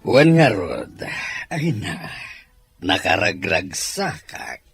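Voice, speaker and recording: A man's voice: fairly low pitch, wildly swooping pitch, drawn-out words, creaky, faint music behind, exaggerated voice. A man playing a proud, gladdened older father in a radio drama. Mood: pleased.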